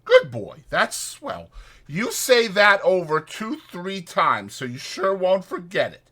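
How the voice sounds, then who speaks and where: A man's voice: high voice, wildly swooping pitch, slow pace, bright, forceful tone, voice pushed up, exaggerated voice. A man voicing a short-tempered, bossy working man who scolds in rustic, ungrammatical speech in a dramatized audiobook. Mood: bossy, instructing.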